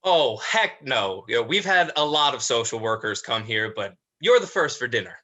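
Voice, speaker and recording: A man's voice: mid-range voice, very animated, moderate pace, bright, forceful tone. A man playing a polite, apologetic elder brother in a dramatized script reading, hesitant and restrained.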